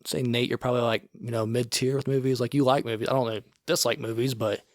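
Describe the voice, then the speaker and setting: A man's voice: mid-range voice, steady tone, fast talker, dry recording, clean studio sound. Laid-back man rambling with lots of "like". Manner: rambling, filler-heavy, often says "like". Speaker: podcast co-host. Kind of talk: casual chat.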